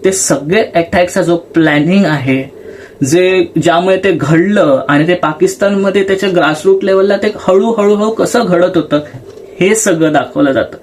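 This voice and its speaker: A man's voice: high voice, moderate pace, a little hiss or hum. A man hosting a film channel, explaining things casually and conversationally with English words mixed in. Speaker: YouTube film channel host.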